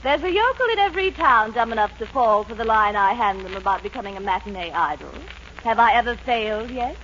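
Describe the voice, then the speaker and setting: An actress playing a scheming, gushing stage star with a grandiose, melodramatic manner in a 1940s radio comedy. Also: female voice, fairly high pitch, lively intonation, a little fast, fairly bright tone. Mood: cynical, scheming. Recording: muffled, noisy 1940s radio recording.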